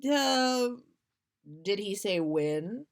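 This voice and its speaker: A woman's voice: medium pitch, expressive, very slow. A woman voicing a moody, mischievous wizard man who growls and mumbles in a story reading. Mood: eager. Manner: growling, mumbling, feigned casualness.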